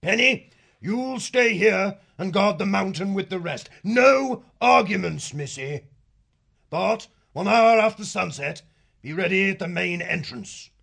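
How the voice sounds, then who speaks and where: Man voicing a stern, gravelly badger lord. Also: high voice, lively intonation, a little slow, a bit bright, exaggerated voice. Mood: commanding. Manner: curt commanding orders.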